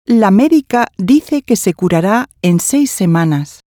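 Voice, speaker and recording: A woman reading scripted language-course dialogue, enunciating each phrase clearly. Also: mid-range voice, animated, unhurried, warm tone, dry recording, studio quality.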